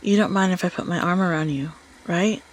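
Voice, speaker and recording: A woman's voice: lowish voice, a little fast, natural voice, rough recording. A woman playing a caring, playful friend in a scripted audio roleplay, casual and soothing with some teasing. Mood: tender, teasing. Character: caring, playful female friend hosting a cozy evening.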